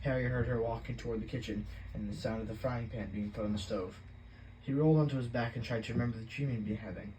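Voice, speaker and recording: A man reading a novel aloud as an audiobook, in plain, steady storytelling. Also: medium pitch, fast talker, a little hiss or hum, low-quality recording. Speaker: audiobook reader.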